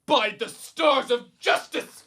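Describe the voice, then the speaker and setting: A man's voice: very high voice, slow pace, pushed and bright, a little hiss or hum, full character voice. A young man playing a pompous, easily flustered suburban superhero in a comedic radio serial, declaiming grandly. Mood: self-important. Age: young adult. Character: pompous, needy, suburban amateur male superhero.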